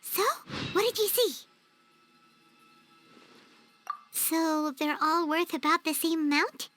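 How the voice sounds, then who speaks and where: A woman voicing a small, excitable, childlike fairy companion in a video game, chatty and naive, with a squeaky voice. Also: moderate pace. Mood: puzzled, wary. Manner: refers to self in third person, exclaiming, wheedling. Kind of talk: video game cutscene acting. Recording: music under the voice.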